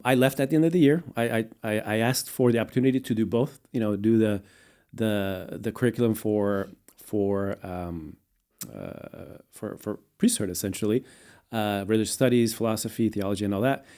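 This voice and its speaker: Guest man, low and mellow, measured and halting, raised in Portugal. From United States, raised in Portugal. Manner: measured, many fillers and restarts. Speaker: guest, venture capitalist. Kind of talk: casual interview answers.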